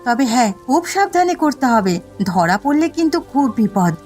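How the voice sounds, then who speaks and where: A woman's voice: high voice, very animated, normal speed, darkish tone. A woman voicing a shrewd, caring village wife in a cartoon, coaxing and concerned, with music and rain behind. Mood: advising. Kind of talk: cartoon voice acting.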